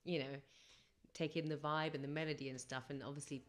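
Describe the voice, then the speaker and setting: Brief: young female singer, low-pitched, chatty and reflective podcast guest, loose and rambling. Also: little pitch movement, a little fast. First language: English first language. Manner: chatty, reflective, rambling with fillers and self-corrections. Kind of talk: casual podcast interview storytelling.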